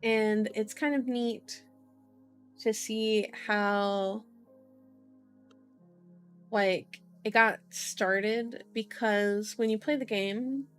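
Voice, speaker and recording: A woman's voice: mid-range voice, fairly even intonation, extremely slow, pauses often, clean tone. Playful woman in an ASMR reading, music behind. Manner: playful asides, repeats words for fun. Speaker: ASMR host reading a novel aloud. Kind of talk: ASMR book reading with casual commentary.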